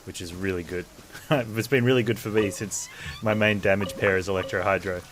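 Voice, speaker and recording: A man's voice: sits low, steady tone, fairly quick, background noise. A man casually chatting and thinking aloud as a game streamer, with game music behind him. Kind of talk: casual live gameplay commentary.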